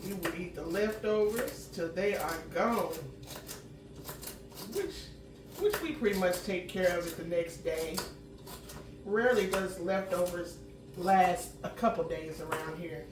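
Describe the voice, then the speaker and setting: Slightly hoarse woman cooking at home. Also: fairly low pitch, measured pace, noisy background. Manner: chatty, homey, clears throat often. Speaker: home cooking channel host. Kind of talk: casual cooking chat. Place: home kitchen. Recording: roomy kitchen sound from a distant mic.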